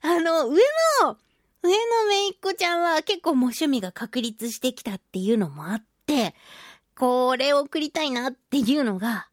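High-voiced, animated woman chatting on radio. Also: moderate pace, no room sound, clean studio sound. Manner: animated, giggly, exclamatory storytelling. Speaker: radio talk show host; voice actress. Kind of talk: chatty solo radio monologue about daily life.

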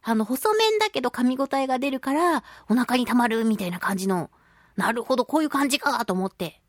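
A woman hosting a radio show, chatting casually and reading listener mail in a playful, expressive way. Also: on the high side, brisk, dry recording, clean studio sound. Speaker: radio show host, voice actress.

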